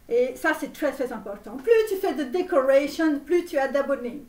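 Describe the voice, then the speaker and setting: Woman doing a comic romanian-accent tarot lady for an online channel, really high voice, pushy n repetitive, roomy sound. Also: a little mellow. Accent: put-on Romanian. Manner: repetitive, halting, theatrical, insistent. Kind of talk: parody tarot reading spoken to viewers. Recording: roomy echo, distant microphone.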